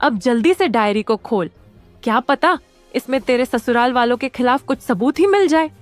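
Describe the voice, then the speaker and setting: A woman's voice: fairly high pitch, lively intonation, moderate pace, no echo, faint music behind, lightly acted. A woman voicing a level-headed, practical young friend who urges others on in a cartoon story. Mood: urging. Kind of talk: dramatised cartoon story dialogue.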